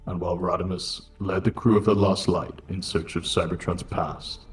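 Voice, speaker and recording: Casting: low-voiced heroic robot commander played by a man, grave, earnest, measured, roomy sound. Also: little pitch movement, faint music behind, natural voice. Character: noble, weary male robot leader. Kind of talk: scripted fan dub of a comic.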